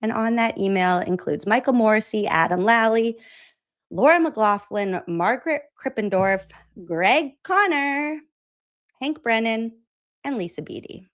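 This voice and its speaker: A woman's voice: on the high side, animated, a little slow. A woman from Massachusetts hosting a political commentary show, chatty and sarcastic, laughing often. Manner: sarcastic, incredulous. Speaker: host.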